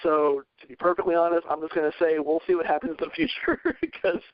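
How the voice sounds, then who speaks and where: A man on a podcast panel in casual discussion, rambling earnestly as he thinks out loud. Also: fairly high pitch, expressive, fast talker, dry recording. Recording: dull, radio-like lo-fi audio.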